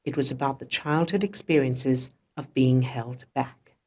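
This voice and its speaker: A woman narrating a self-help audiobook as its author, in a measured, instructional way with deliberate phrasing. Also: very deep voice, darkish tone. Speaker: author reading own audiobook.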